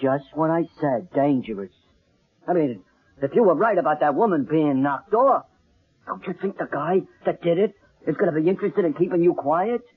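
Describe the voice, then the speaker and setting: An actor playing a blunt, streetwise talent agent, a raspy man talking slang in an old-time radio drama. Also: on the high side, animated, normal speed. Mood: exasperated, scolding. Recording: muffled old radio broadcast.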